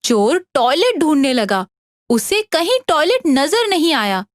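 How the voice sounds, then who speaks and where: A woman narrating a scripted story for an animated tale. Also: high voice, measured pace, no room sound, clean studio sound.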